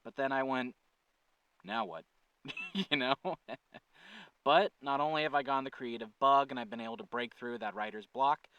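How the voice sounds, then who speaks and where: A man with a smooth, resonant voice talks casually to his viewers as the channel's host. He is earnest and conversational, working out his sentences as he goes. The sound is dry with little room, and he sits a little away from the mic. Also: mid-range voice, fairly bright tone, dry recording, distant mic. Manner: earnest, conversational, thinking aloud with self-corrections. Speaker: channel creator and host, writer-producer. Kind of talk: casual update talk to viewers.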